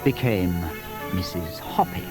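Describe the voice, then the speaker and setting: A man playing a genial, wry old tortoise who narrates a children's audio story in an expressive, confiding way. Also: deep voice, measured pace, over music. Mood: warm, storybook.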